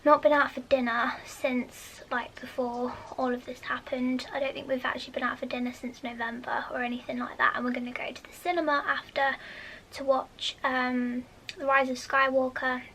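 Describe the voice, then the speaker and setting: Soft, high, childlike English woman. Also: flat delivery, moderate pace, a little hiss or hum. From United Kingdom. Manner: chatty, rambling with fillers; often drops to a whisper. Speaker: vlogger. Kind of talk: casual vlog chat.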